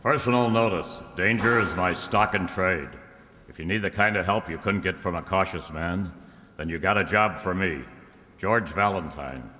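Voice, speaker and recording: Guy doing a hard-boiled radio detective, deep voice, fearless, echoey noisy hall. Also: moderate pace, bright, forceful tone. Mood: cool, tough. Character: tough, fearless private detective reciting his ad.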